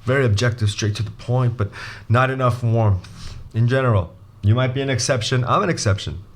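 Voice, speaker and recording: Young guy coach, lively, loose, talks straight to camera, mid-pitched voice. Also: fairly quick. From Brazil. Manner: animated, restarts sentences, jokey asides. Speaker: MBA admissions coach. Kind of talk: casual video lesson.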